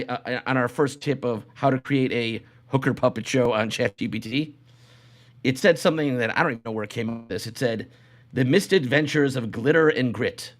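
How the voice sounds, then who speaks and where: Man, podcast host, casual comedic talk, halting delivery, restarted phrases. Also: mid-range voice. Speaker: show host.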